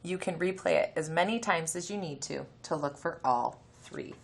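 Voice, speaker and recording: A woman's voice: low-pitched, expressive, moderate pace. A woman teacher giving a reading lesson, explaining instructions to children, sounding out letters and reading a passage aloud. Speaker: elementary teacher.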